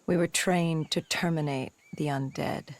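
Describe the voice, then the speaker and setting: A woman playing a haunted, cybernetically enhanced soldier who gravely recounts humanity's fall in a video game. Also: deep voice, fairly even intonation, unhurried. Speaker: protagonist narrator. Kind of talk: cinematic video game narration. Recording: music behind the voice.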